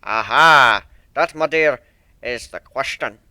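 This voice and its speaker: A grizzled old sea dog of a cartoon pegasus, played by a man, stern and deadpan. He talks bluntly with a salty seafaring swagger, forceful and bright in a close, dry recording. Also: mid-range voice, slow pace, smooth, no echo. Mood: blunt, advising. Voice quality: stern, slurred S sounds, rolled R's.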